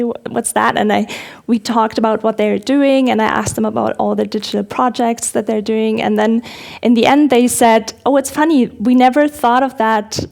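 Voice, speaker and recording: A woman in her thirties speaks on a conference panel stage. Her voice sits fairly high with a gravelly fry, and she restarts sentences as she goes. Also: a little mellow. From Munich, Germany. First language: German. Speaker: panelist, researcher. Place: large conference hall stage.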